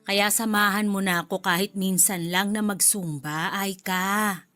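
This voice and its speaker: A woman's voice: medium pitch, fairly even intonation, a little slow, bright, forceful tone, dry recording, studio quality, faint music behind. A woman playing a cheerful, pushy, youthful mother-in-law in a radio drama. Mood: cheerful coaxing.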